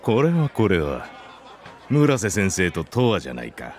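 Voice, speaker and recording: Visual novel antagonist: polished, menacing man, low voice with swooping intonation. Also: wildly swooping pitch, fairly quick, some chatter behind. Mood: smooth, veiled threat. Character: calm, dignified, courteous man in a suit, quietly menacing. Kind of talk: Japanese visual novel acting.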